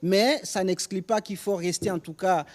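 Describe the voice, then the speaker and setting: A man's voice: sits high, normal speed, darkish tone. A man from DR Congo with a Congolese French accent, answering interview questions on current affairs and often repeating words as he searches for phrasing. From DR Congo, Rutshuru in North Kivu.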